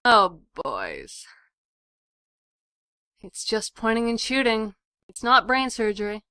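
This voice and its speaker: Actress as teasing young woman survivor, breezy, clear bright voice, comic dub drama. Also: a little high, wildly swooping pitch, takes it slowly, no echo, heavily acted. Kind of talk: amateur comic dub acting.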